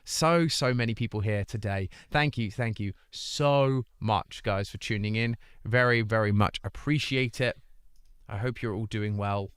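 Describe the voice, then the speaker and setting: A man's voice: lowish voice, measured pace, a bit bright, clean tone, dry recording, clean studio sound. A man hosting a charity livestream, chatting casually and earnestly urging viewers to donate while still getting over an illness. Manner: earnest, pleading appeals to chat, rambling restarts. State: recovering from an illness, voice not at full strength.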